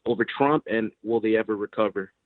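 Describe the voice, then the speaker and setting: A man's voice: on the low side, fairly quick, no echo. A man calling in to a live show, asking short, direct questions. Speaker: caller. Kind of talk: phone call to a live show. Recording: phone line.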